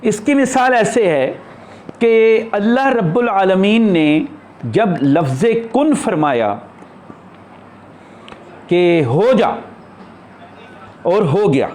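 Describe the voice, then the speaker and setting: A man's voice: sits high, expressive, very slow, pauses often, a little mellow. A man giving an unscripted spiritual discourse as a teacher, explaining in short, broken phrases.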